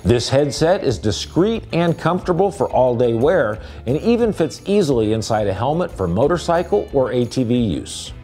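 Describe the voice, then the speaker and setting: A man's voice: mid-range voice, sing-song, normal speed, hardly any pauses, mellow. Man narrating product review over music.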